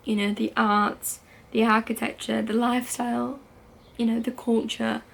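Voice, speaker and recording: A woman's voice: fairly high pitch, level tone, normal speed, vocal fry. A woman, talking candidly and casually to camera as a vlogger, with frequent "like" and "um" fillers. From United Kingdom. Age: late teens. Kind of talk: casual confessional talk to camera.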